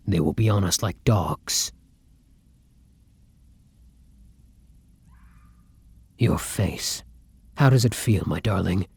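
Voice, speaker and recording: Man playing a breathy, weary vampire lover. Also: fairly low pitch, no echo, full character voice. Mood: tender, fervent. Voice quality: breathy, husky, often dropping to a whisper. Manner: formal old-fashioned endearments, gentle and dramatic. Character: tender, weary, centuries-old male vampire lover on the run. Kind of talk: intimate ASMR roleplay.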